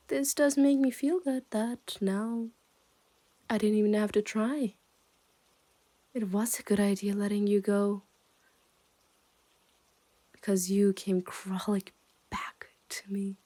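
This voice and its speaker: Female actor as possessive yandere captor, ASMR roleplay: unhurried, dark soft tone, smug and menacing, slipping into whispers. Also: mid-range voice. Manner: slow, teasing, drifts into breathy whispers. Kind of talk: ASMR roleplay, addressed to the listener.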